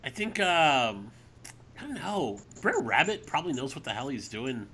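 A man's voice: medium pitch, very animated, moderate pace, a crowd in the background. A man co-hosting a podcast in casual banter, giggly and rambling with hesitations. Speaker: podcast co-host.

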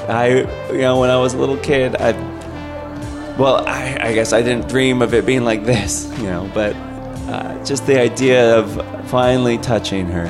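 Casting: man as sentimental, stammering bridegroom, mid-range voice, improv stage radio play, loud music behind. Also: medium pitch, steady tone, natural voice. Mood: tender, nervous. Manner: stammering, halting, repeats himself. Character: flustered, protective, sentimental groom. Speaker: improv performer. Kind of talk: live improvised radio theater. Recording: loud music and crowd, live stage recording.

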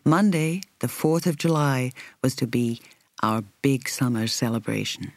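A woman reading a first-person novel as an audiobook narrator, in a measured, reflective, carefully articulated way. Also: very deep voice, a little mellow, no room sound.

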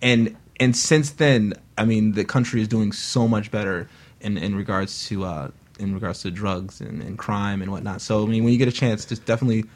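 Lower-voiced man telling a story. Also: a little fast. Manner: storytelling, animated retelling. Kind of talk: casual radio talk show discussion.

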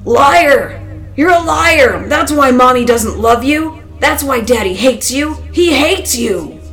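A woman's voice: high-pitched, expressive, takes it slowly, a bit bright, soft background music, pitched above the performer's own voice, full character voice. A woman voicing a vicious, raging male voice in someone's head, bellowing hateful accusations. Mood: angry, accusing.

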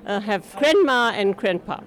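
A woman's voice: mid-range voice, lively intonation, unhurried. An older woman answering a street interview hesitantly and fondly. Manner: hesitant, fond. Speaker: passerby interviewed.

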